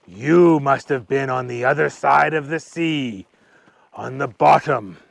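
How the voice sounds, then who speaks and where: A man voicing a big, gentle, forgetful dragon in a children's story, slow and drawn-out with booming exclamations. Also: vocal fry, no echo. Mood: slow, musing.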